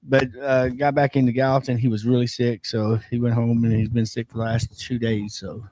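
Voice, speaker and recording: A man's voice: mid-range voice, flat delivery, brisk, a little mellow, low-quality recording. A man, co-hosting a casual wrestling talk show and telling a rambling story, fighting a lingering cold. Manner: rambling, many fillers, chuckles at his own story. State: has a lingering cold, keeps losing his voice. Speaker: co-host of a local wrestling and sports show.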